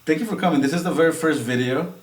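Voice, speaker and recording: A man from Mexico hosting a podcast, talking in an animated, chatty way and laughing easily. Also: fairly high pitch, very fast. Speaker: podcast host. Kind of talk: casual bilingual conversation. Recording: roomy echo.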